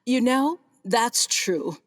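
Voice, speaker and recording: A woman's voice: on the high side, lively intonation, drawn-out words, dry recording, clean studio sound, exaggerated voice. An actress playing a poised, wealthy female vineyard owner and boss with a gracious, genteel manner in a comedic radio play. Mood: gracious, amused.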